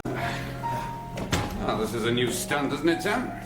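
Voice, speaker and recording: An actor playing a dutiful, no-nonsense police inspector in a radio drama, with a businesslike, direct manner. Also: male voice, medium pitch, big pitch swings, takes it slowly. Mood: impatient. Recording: distant, echoing room, music behind.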